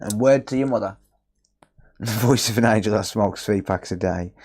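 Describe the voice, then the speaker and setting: A man's voice: lowish voice, a little slow. A man chatting casually on a live stream while repairing a console, sarcastic and swearing often as he answers his chat.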